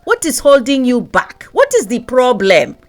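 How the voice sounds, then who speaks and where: A woman's voice: high voice, wildly swooping pitch, unhurried, voice pushed up, heavily acted. Woman putting on a scolding mock Nigerian accent.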